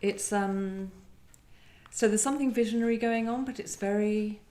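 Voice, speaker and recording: A woman poet speaking thoughtfully about poetry and reading it, often pausing to search for words. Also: mid-range voice, fairly even intonation, dark tone. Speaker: guest poet discussing and reading poems. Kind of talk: literary discussion and poetry reading. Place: echoey indoor room at a live event. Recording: echoey room recording.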